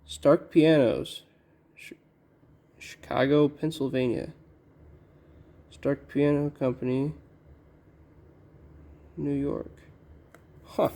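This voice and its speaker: A man giving casual, halting unboxing commentary as a collector, musing to himself in a low-key way. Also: fairly even intonation, mellow. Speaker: record collector presenting an unboxing.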